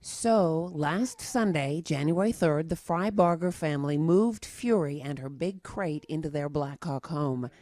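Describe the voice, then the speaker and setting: Low, mellow woman narrating news. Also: measured pace, few pauses, dry recording. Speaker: news reporter.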